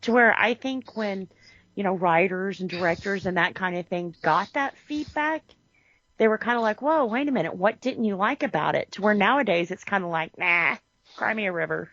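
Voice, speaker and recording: A woman co-hosting a podcast, chatting casually about a TV series in an animated way, full of restarts and "you know". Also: medium pitch, normal speed, no room sound, muffled recording. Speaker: podcast co-host. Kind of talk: casual chat reviewing a TV series.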